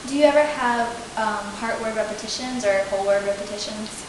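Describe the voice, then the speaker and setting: A young woman acting as a student clinician, asking intake questions in a measured way with frequent "okay"s. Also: medium pitch. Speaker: student clinician interviewing a client. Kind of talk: scripted clinical interview. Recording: echoey room with steady hiss.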